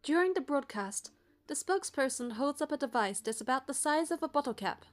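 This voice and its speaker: A woman's voice: sits high, expressive, brisk. A woman reading scripted first-person story narration for a game playthrough.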